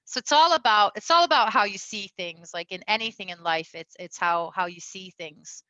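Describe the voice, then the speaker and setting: Bright chatty woman coaching on relationships. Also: medium pitch, moderate pace, no echo, highs cut off. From Venezuela. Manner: chatty, lots of fillers, laughs often. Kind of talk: casual advice talk.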